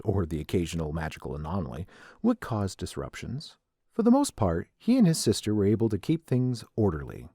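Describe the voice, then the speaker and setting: Low, mellow male story narrator. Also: husky, dry recording, studio quality.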